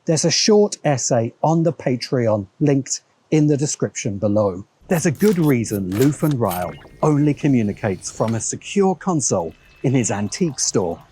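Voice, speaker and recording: A man hosting a video essay, reading scripted narration in a measured, emphatic way with dramatic pauses. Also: medium pitch, sing-song, mellow, slightly rough.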